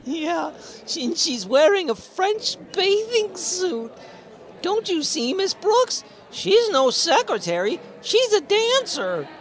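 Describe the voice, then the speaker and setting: A woman's voice: very high-pitched, sing-song, slow pace, exaggerated voice. A woman playing an eager, gossipy teenage schoolboy in a radio comedy, with hesitant starts and exclamations. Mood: excited, astonished. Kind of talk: old-time radio comedy acting.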